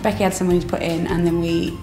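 Young woman, bakery co-owner, gravelly low-ish voice, chatty, echoey cafe with music. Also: flat delivery, fast talker, warm tone. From England. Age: 20s. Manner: casual, chatty, laughs often, says "like". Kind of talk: interview answers. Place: cafe interior, seated on sofa.

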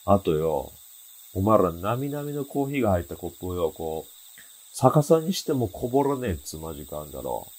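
A cocky, hot-headed young thug in a radio drama. He drawls in rough slang, low and husky, with pitch that swoops around. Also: very animated, a little fast, darkish tone, heavily acted. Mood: boastful. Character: boastful, short-tempered teenage male delinquent. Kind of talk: Japanese radio drama acting.

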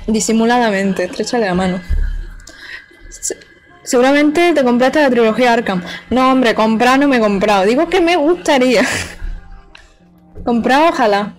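Girl streaming a game, chatty and playful, kinda high voice, faint game music behind. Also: moderate pace, long pauses, poor audio. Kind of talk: casual live gameplay commentary.

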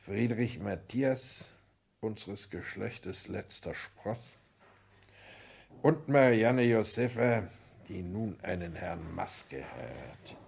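An actor playing a proud, reserved middle-aged count in a 1960s German radio play, speaking formally and with stately diction. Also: male voice, on the low side, lively intonation, takes it slowly, creaky, darkish tone, slightly rough, heavily acted. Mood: musing, nostalgic. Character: reserved, class-proud aristocratic count, father of a grown daughter.

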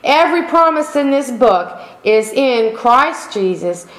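A middle-aged woman pastor delivering a sermon in slow, emphatic preaching style, calling out for amens. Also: a little high, animated, fairly bright tone. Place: church sanctuary. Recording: echoey room.

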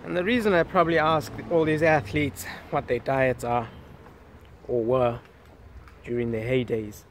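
A man's voice: mid-range voice, steady tone, a little slow, a little hiss or hum. A man vlogging and interviewing athletes outdoors, speaking into an earphone headset mic, upbeat and prompting, repeating answers back to them. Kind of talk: casual on-the-street interviews and vlog narration. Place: outdoors in a park and on streets. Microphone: earphone headset mic, selfie camera.

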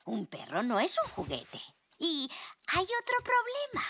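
A woman voicing a caring, cautious grandmother zebra in a children's cartoon dub, expressive and kindly admonishing. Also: very high-pitched, unhurried, full character voice. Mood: concerned. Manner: expressive, admonishing yet kind.

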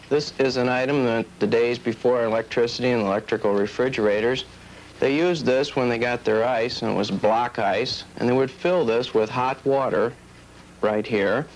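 An American man explaining items step by step in a plain, methodical way on an old tape. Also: mid-range voice. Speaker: collector demonstrating items. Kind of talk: explanatory show-and-tell. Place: indoors at table by window. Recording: faintly noisy old videotape.

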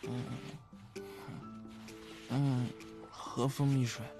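A man playing a tipsy, drowsy young man in an audio drama, childlike and clingy, with drawn-out, insistent phrasing. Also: mid-range voice, level tone, music in the background. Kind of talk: Chinese audio drama acting.

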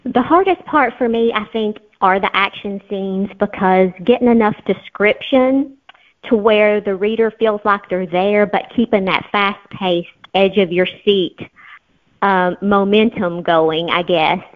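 A woman guest talks casually in an interview with a mid-pitched voice. Her sound is thin and tinny, like a phone line. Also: a little slow. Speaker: podcast guest, fiction author. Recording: tinny phone-like call audio.

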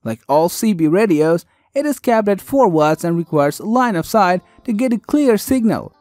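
Lively high-pitched male product narrator. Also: normal speed, no room sound, soft background music. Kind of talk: scripted product countdown narration.

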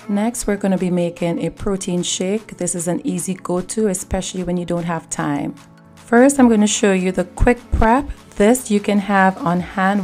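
A woman hosting a cooking video, giving calm step-by-step recipe instructions in voice-over. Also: on the low side. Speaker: cooking channel host.

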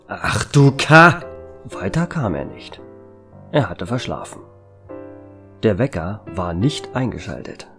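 A man's voice: fairly low pitch, darkish tone. A man narrating a scripted story as its storyteller. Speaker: narrator and author.

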